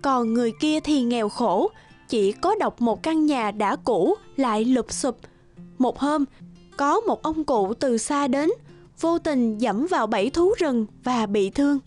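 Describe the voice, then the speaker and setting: Nữ kể chuyện cổ tích, giọng khá cao. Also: female voice, sits high, animated, unhurried, a little mellow. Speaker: narrator. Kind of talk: scripted fairy-tale narration. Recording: music under the voice. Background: background music.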